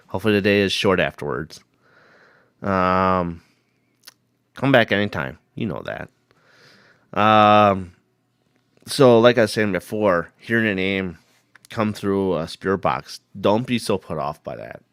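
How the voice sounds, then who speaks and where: A man hosting a paranormal live stream, hoarse from a cold, rambling casually and greeting viewers by name. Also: on the low side, animated, measured pace, long pauses, fairly bright tone, no echo, studio quality.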